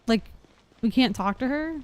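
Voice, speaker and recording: A woman's voice: fairly high pitch, lively intonation, unhurried, a little mellow. A young woman giving casual live gameplay commentary as a streamer, rambling and thinking aloud. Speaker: game streamer. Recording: faint game music behind voice. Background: game music.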